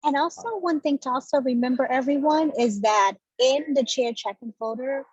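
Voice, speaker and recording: Woman adding a quick note in an online meeting, bit raspy, dry muffled lo-fi audio. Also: high-pitched. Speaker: meeting participant adding a reminder. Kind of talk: informal meeting announcement. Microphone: video call. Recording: muffled, roomy-free dry lo-fi call audio.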